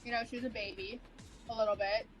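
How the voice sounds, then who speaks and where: A woman's voice: mid-range voice, fairly even intonation, quick pace, low-quality recording. A woman co-hosting a gameplay video, telling stories animatedly and swearing casually. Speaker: co-host and co-author. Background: game music.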